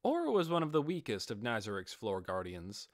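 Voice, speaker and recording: A man's voice: medium pitch, wildly swooping pitch, brisk, no echo, studio quality. A man reading scripted fantasy fiction as an audiobook narrator.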